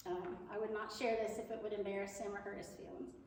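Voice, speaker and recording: A woman's voice: mid-range voice, little pitch movement, quick pace, a little mellow, a little hiss or hum. Woman w a big voice giving a church talk, earnest and kinda nervous, echoey hall, mic far off. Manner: earnest, chatty, self-deprecating, nervous. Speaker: guest speaker at church. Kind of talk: Mother's Day church talk. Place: church sanctuary.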